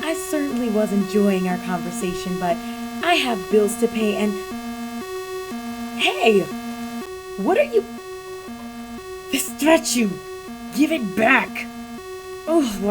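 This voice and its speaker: Woman acting a slow, teasing, flirty cat burglar villainess. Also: on the high side, rough recording. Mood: smug, then flustered, indignant. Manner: coy, teasing, drawn-out phrasing with terms of endearment. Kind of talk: roleplay audio drama addressed to the listener.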